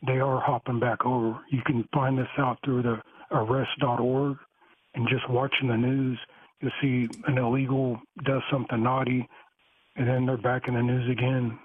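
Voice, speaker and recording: A man rambles through a call-in, stumbling over restarts and fillers in a fairly flat delivery. His voice is a little husky and comes through a tinny phone line. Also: mid-range voice, no room sound. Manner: halting, many restarts and fillers. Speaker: caller.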